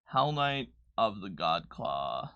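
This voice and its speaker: Bright-voiced man thinking aloud. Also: low-pitched, sing-song, slow pace, gravelly, clean tone, no room sound, dull audio. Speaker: game designer co-hosting a livestream. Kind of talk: casual tabletop game talk, thinking aloud while filling in a character sheet.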